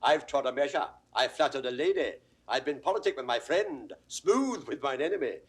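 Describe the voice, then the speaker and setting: A British actor playing a witty, mock-learned court jester in a Shakespeare audio drama, with mock-formal, theatrical delivery. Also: male voice, mid-range voice, animated, normal speed, husky. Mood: jaunty, droll.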